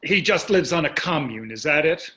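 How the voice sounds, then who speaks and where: A well-off older husband in a stage drama, played by an actor. His voice sits a little high for a man and moves with lively intonation. He is glib and dismissive, breezy on the surface and curmudgeonly underneath. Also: moderate pace. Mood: scornful. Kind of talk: staged play reading.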